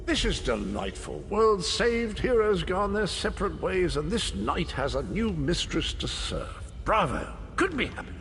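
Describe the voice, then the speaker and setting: An actor playing a jolly, eccentric gentleman knight in a video game, with a chummy, flamboyant upper-class British manner. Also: high-pitched, full character voice. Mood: delighted, chatty. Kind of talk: video game character acting. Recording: long reverb, music behind.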